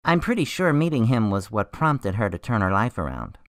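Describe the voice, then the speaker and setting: Animated, earnest medical examiner. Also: male voice, on the low side, normal speed, no room sound, clean studio sound. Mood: worried, explaining. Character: earnest, anxious male medical examiner consulting a detective. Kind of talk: radio drama acting.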